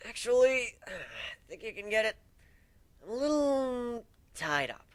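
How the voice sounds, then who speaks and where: A man's voice: very high voice, big pitch swings, measured pace, fairly bright tone, dry recording, pitched above the performer's own voice, full character voice. A man voicing a wounded male griffin captain in a story, wry, plaintive and emphatic, blaming himself yet devoted. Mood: earnest.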